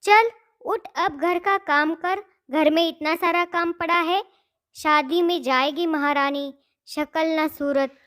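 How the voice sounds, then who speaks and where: A woman's voice: very high voice, fairly even intonation, slow pace, fairly bright tone, exaggerated voice. A woman voicing a cruel, scornful stepmother who scolds with contempt in a cartoon story. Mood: bossy scolding. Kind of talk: Hindi cartoon moral story acting.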